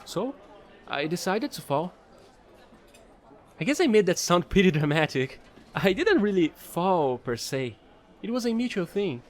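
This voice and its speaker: A man's voice: sits high, very animated, measured pace, long pauses. A man playing an offbeat, chatty fallen angel space trader in an audio roleplay, rambling playfully to the listener in casual slang. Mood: casual storytelling.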